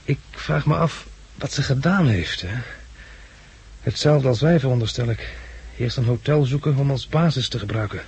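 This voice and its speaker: A man's voice: mid-range voice, normal speed, creaky, a little mellow, husky. An actor playing an anxious, guilt-ridden male group leader in a 1960s Dutch radio drama. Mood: tense, sober. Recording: noisy 1969 radio drama recording.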